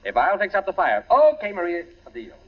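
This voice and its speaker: A genial, protective husband in a 1940s radio play, his pitch leaping around as he talks with breezy, hesitant affection. The recording is muffled and a little distant. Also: wildly swooping pitch, fairly quick, heavily acted. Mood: breezy, affectionate. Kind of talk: 1940s radio drama acting.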